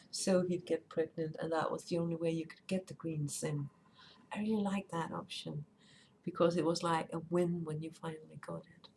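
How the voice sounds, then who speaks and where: A woman's voice: on the low side, darkish tone. A woman streamer with Danish as her first language, chatting casually and rambling while she plays and reads chat aloud. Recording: faint background noise.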